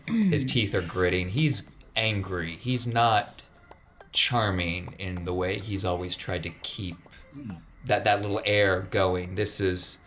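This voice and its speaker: A man's voice: low-pitched, takes it slowly, fairly bright tone, faint music behind. A man working as game master, narrating scenes in a tabletop role-playing game.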